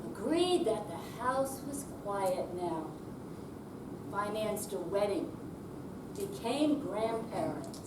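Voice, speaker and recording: A woman performing a readers theater piece, delivering short narrative phrases in a measured way with pauses. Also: mid-range voice, sing-song. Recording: roomy hall, distant mic, background noise.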